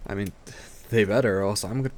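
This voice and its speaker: A man's voice: lowish voice, fast, a little mellow, close to an everyday voice. A man playing an affectionate, playful and protective boyfriend in an intimate roleplay audio drama, talking in a tender, teasing, slightly stammering way. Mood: playful, reassuring.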